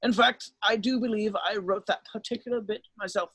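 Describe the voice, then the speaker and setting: Wise old wizard advocate read by a man, notably high voice, dry close sound, polite and measured. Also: fairly even intonation, a bit bright, husky, no echo, heavily acted. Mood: courteous. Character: wise, calm, courteous old wizard headmaster defending the accused. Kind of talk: amateur online table read of a screenplay.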